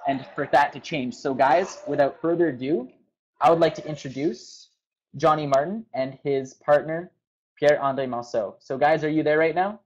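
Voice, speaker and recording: A man's voice: fairly high pitch, a little mellow, slight background noise. Earnest man hosting over a dull webinar line. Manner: casual, earnest, with "you know" fillers. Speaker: webinar host. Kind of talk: introducing guest speakers.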